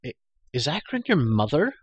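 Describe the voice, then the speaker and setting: A man's voice: sits high, big pitch swings, normal speed, fairly bright tone, husky, no echo, dull audio, voice pushed up, exaggerated voice. A man voicing a bewildered, earnest young farm boy in a storybook, anxious and given to startled exclamations. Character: bewildered, earnest young assistant pig keeper boy held prisoner. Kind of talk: storybook character voice.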